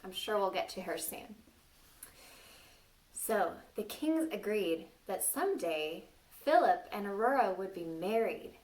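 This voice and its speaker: A woman's voice: medium pitch, lively intonation, a little slow, a little mellow. A woman reading a story aloud to young children, playfully stopping to ask them questions and exclaim. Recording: distant microphone.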